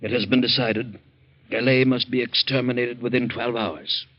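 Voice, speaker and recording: A man's voice: mid-range voice, steady tone, close to an everyday voice. A man playing the cold, menacing leader of a secret patriotic committee, speaking with formal authority in old radio drama style. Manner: formal, authoritative, measured threats. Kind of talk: 1940s radio acting. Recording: muffled old-time radio recording.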